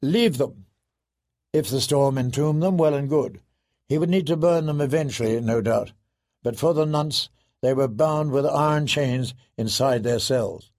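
A male narrator voices a young lord commander in a fantasy tale. He is measured, serious and dry, with a noticeably creaky edge. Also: mid-range voice, darkish tone, close to an everyday voice.

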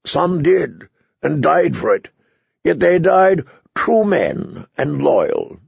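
Male narrator as hot-headed fantasy knight, accusing, insistent, raised pitch, husky, dull recording. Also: very animated, slow pace, no room sound, full character voice. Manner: sharp, insistent.

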